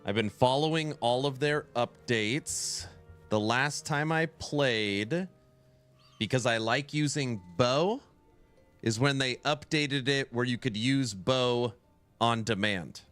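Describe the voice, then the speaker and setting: A man's voice: medium pitch, slow pace, clean tone, faint music behind. A man streaming a game, chatting casually with his viewers and answering their questions while nasal from a cold. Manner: relaxed, answers chat questions aloud. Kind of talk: casual live-stream chat.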